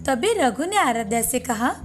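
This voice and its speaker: A woman's voice: high voice, big pitch swings, moderate pace, a little hiss or hum. A woman reading a scripted children's story as narrator. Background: faint music.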